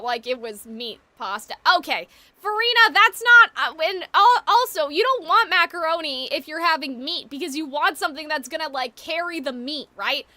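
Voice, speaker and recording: A woman streamer with a very high, bright voice. She comments casually on a game, animated and opinionated, often rambling. Faint game music sits behind her. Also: dry recording. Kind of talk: casual live gaming commentary.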